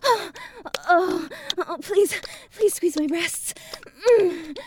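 A woman playing an exasperated, foul-mouthed adult restaurant worker in an erotic audio roleplay, pleading and swearing between breathy exclamations. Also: very high voice, drawn-out words, a crowd in the background, full character voice. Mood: aroused, pleading.

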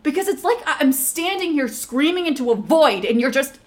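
A woman voices an emotional young girlfriend with a temper in an audio roleplay. She talks straight to the listener in an earnest, fairly high voice. Her delivery is slow and unhurried. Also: fairly even intonation, a little mellow. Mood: angry.

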